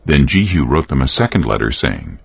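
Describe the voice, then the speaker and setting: A man giving solemn, measured, scripted dramatic narration of scripture as a storyteller. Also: very deep voice, big pitch swings, gravelly, husky. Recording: dull audio with music underneath.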